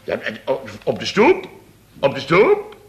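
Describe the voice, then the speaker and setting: An actor playing a blustering, indignant boss of a building firm in a Dutch radio comedy, exclaiming and grumbling. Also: male voice, mid-range voice, huge pitch swings, unhurried, dull audio. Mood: exasperated. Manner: exclamatory, grumbling, tags sentences with "hè" and "zeg".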